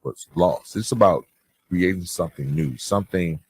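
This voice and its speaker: A man hosting a podcast, giving motivational advice in an earnest, conversational, gently preaching way with emphatic pauses. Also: deep voice, slightly rough, no echo. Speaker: podcast host and author.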